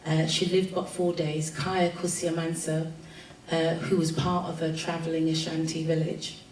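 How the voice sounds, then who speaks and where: A woman's voice: low voice, little pitch movement, normal speed, darkish tone. A woman with a London accent speaking as a panel speaker, reflective and informal, with frequent ums and restarts. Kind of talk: spoken presentation at a panel. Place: event room at a festival panel. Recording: echoey room, distant mic, faint room noise.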